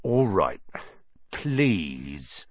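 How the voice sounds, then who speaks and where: A man's voice: low-pitched, very animated, extremely slow, no echo, muffled recording, voice pushed down, exaggerated voice. A man voicing an irritable, bad-tempered man, impatient and demanding, in a storybook reading. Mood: grudging. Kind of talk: audiobook character voice.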